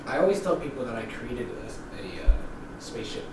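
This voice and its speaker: A young man telling stories in casual chat, animated and laughing often. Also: mid-range voice, brisk. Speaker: podcast co-host. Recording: echoey room, distant mic, background noise.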